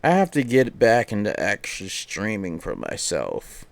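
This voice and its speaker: A man's voice: fairly low pitch, huge pitch swings, moderate pace, gravelly, dry recording. A man streaming games, chatting casually and thinking aloud in a rambling way that often repeats phrases. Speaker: game streamer. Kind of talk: casual livestream chat while setting up a game.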